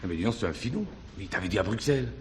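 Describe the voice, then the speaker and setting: Man playing a mocking smooth-talker, very animated pitch, slangy, familiar, stage comedy, faint noise behind. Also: rapid-fire, natural voice. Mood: scheming. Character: cheeky, scheming backstage charmer and needler. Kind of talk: French stage comedy acting.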